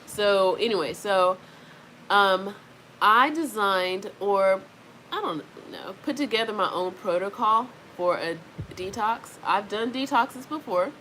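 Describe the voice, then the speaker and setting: A woman's voice: medium pitch, takes it slowly, sharp tone, rough recording. A congested woman vlogging casually from home, rambling with many fillers while fans run in the background. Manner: rambling, chatty, frequent fillers. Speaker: wellness vlogger. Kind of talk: casual talking-to-camera vlog. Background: faint fan noise.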